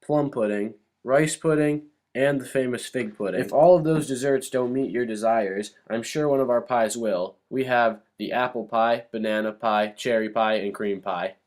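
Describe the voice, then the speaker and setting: Guy playing a cheerful 1920s radio chef reading out his segment, mid pitch. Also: moderate pace, hardly any pauses, darkish tone, lightly acted.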